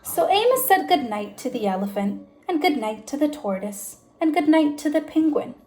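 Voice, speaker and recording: A woman teacher reading a children's storybook aloud in an expressive, deliberate way with emphasized phrases. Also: high voice.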